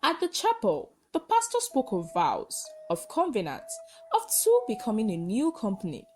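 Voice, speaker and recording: A woman narrating a scripted folktale in short, measured, dramatic phrases. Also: a little high, huge pitch swings, darkish tone, soft background music. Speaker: storyteller, narrator.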